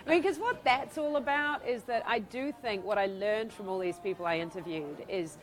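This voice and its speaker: A woman's voice: medium pitch, normal speed. A middle-aged woman with a New Zealand accent, a guest speaking enthusiastically in an interview with frequent laughs. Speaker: author and guest. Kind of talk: interview conversation.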